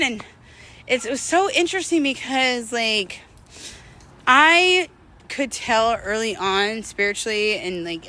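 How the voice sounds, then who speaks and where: A woman's voice: fairly high pitch, sharp tone. A young adult woman talking frankly and expressively in a conversational personal vlog, sharing candid stories with some swearing. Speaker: vlogger. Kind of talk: candid personal storytelling to camera.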